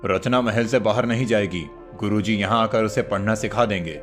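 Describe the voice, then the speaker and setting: A stern, commanding cartoon king, played by a man, fairly low in pitch. He sounds like a protective father, with clear music behind him. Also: steady tone, normal speed, lightly acted. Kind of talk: cartoon moral story acting.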